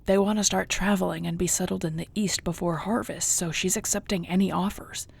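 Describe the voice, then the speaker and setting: Husky, low-voiced gentle orc girlfriend played by a woman. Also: fairly even intonation. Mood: warm, hopeful. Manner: soft, tender, unhurried, often near a whisper. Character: affectionate orc girlfriend, seasoned warrior, gentle and content. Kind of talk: intimate audio roleplay, spoken to the listener.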